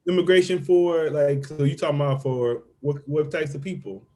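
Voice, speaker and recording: A man's voice: on the high side, moderate pace, darkish tone. A man co-hosting a podcast, asking loose, slangy questions. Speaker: podcast co-host. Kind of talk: casual podcast interview.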